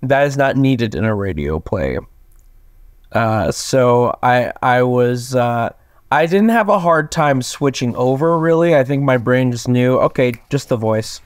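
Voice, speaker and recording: Smooth-voiced young man, interview guest. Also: lively intonation, a little slow, dry recording, clean studio sound. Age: young adult. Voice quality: tenor, lowered from just waking up. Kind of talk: casual interview conversation. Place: plain small room indoors, video call.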